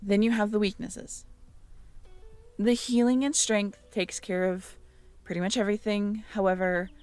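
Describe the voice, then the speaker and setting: A woman's voice: medium pitch, fairly even intonation, measured pace, a little mellow, clean tone, faint music behind. A woman in an intimate audio roleplay, musing aloud as she reads through notes and checks back with the listener.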